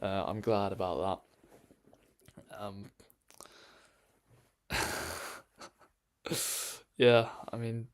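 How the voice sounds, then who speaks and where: A man chatting casually on a live stream from his bedroom, rambling with lots of ums and restarts. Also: on the low side.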